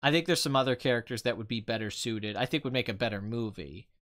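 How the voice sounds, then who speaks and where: A man with a mid-pitched, clear voice hosts a live stream in a casual, conversational way. He rambles through his opinions informally. His throat sounds slightly strained, as if he has been talking for a long time. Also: fast talker, a bit bright. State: voice tiring and strained after two hours of talking. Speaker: live stream host, film commentator.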